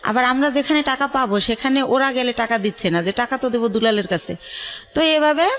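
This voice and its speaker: A middle-aged Bangladeshi woman with a fairly high voice speaks as a radio interview guest. She recounts personal experiences in a halting way, pausing often as she goes. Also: fairly bright tone. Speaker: guest telling her life story.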